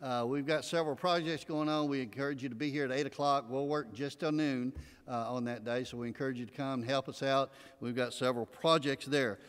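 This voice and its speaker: A man's voice: mid-range voice, fairly even intonation, fairly quick, few pauses, clean tone. An older man with an East Texas accent giving folksy church announcements from a pulpit, with many filler uhs. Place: large church sanctuary with choir behind. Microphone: pulpit mic. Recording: long reverb of a big sanctuary.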